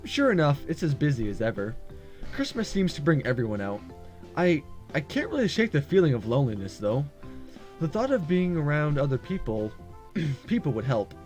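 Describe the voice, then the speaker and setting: A fairly high-voiced man reads a visual novel aloud for a gaming video. His delivery swings up and down a lot, with casual asides and small stumbles, and soft music plays behind him. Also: very animated, normal speed. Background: game background music.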